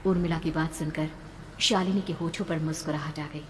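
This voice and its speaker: A woman's voice: low voice, steady tone, brisk. A woman telling a horror story as dramatic scripted narration. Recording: long reverb, background noise.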